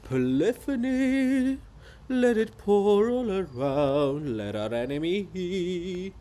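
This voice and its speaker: A man voicing a mocking, excitable chorus of gossiping living monuments in a theatrical reading. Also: sits high, slow, exaggerated voice. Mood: defiant singing. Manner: jeering, excitable, taunting.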